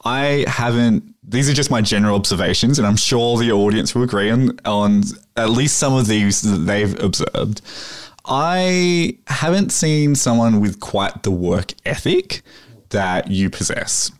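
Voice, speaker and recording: A man's voice: medium pitch, lively intonation, measured pace. An Australian man interviewing on a podcast in a casual, enthusiastic and complimentary way. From Central Coast, Australia. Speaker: podcast producer acting as interviewer.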